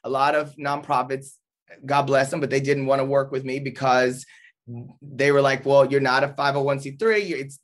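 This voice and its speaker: A man's voice: medium pitch, level tone, normal speed. A man talking as an interview guest, casual and rambling, often restarting his sentences.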